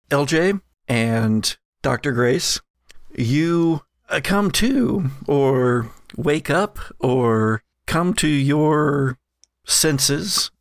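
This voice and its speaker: A man narrating a gothic tabletop horror game as game master, measured in description and hesitant when he turns to the players. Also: medium pitch, gravelly.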